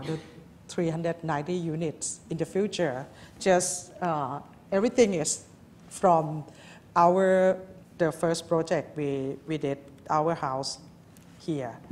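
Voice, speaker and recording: A woman in her forties speaks from a lectern in a lecture hall, slow and halting, her speech full of fillers and restarts. Her voice sits a little low. A faint hum of room noise runs behind her. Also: a little mellow. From Thailand. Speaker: architect giving a keynote lecture. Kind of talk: live lecture.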